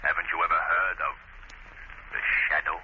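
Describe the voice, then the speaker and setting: Guy doing a deep ominous invisible avenger, slow n taunting, old muffled radio show. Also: level tone, a little hiss or hum, lightly acted. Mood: menacing, taunting. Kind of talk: 1940s radio drama acting.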